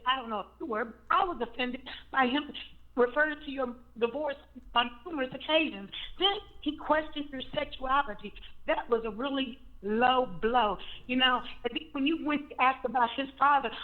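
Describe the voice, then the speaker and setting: A hoarse woman calling in to a talk show by phone, giving blunt, indignant opinions. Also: high-pitched. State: hoarse after surgery. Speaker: caller. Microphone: phone call. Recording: muffled phone line.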